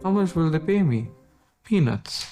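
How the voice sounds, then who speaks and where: A man's voice: fairly high pitch, animated, warm tone. Twenties man, Indian English accent, candid interview testimony, light music under. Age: 20s. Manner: candid, halting. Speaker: interviewee, delivery rider.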